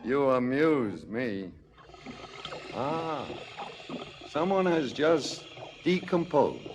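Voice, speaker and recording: Sardonic cop, swooping delivery. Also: male voice, mid-range voice, sing-song, extremely slow. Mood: sardonic, pressing. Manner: insinuating, dry, interrogating. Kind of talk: stylized 1970s radio drama acting. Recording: faintly noisy 1970s radio recording.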